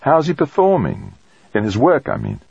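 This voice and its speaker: A man's voice: medium pitch, huge pitch swings, moderate pace, creaky, a little mellow, breathy edge, dry recording, muffled recording, voice pushed up, full character voice. A man reading an audiobook, voicing a friendly, thoughtful woman colleague with a breezy, colloquial manner. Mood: probing. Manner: breezy and colloquial, calling people mate. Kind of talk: audiobook character dialogue.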